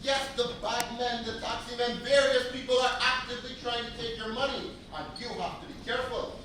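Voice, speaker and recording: A man acting out a prim, wordy young man who can't stop giving advice. The high voice is caught from a distance on a live theatre stage, in a booming, echoing hall with noise behind it. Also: steady tone, brisk, pushed and bright. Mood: lecturing. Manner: formal, overly polite and long-winded. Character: fussy, wordy young man guiding a newcomer. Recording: echoey hall picked up from far off, noisy.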